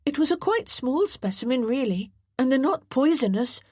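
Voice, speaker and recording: A woman's voice: high-pitched, very animated, moderate pace, darkish tone, slightly rough, no room sound, studio quality, voice pushed up, exaggerated voice. A woman voicing an earnest, well-bred young boy who speaks in a precise, formal way. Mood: eager.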